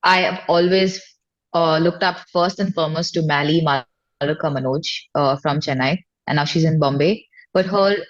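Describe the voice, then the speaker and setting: Lower-pitched Indian woman chatting casually. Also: normal speed, highs cut off. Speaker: singer-songwriter guest. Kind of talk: casual interview chat.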